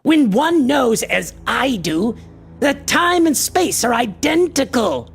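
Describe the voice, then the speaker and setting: A woman playing an arrogant, obsessive male antiquarian in a radio horror drama, raspy and creaky, with grandiose, condescending delivery. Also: on the high side, sing-song, slow, slight background noise, heavily acted. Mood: intense, condescending. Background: faint music.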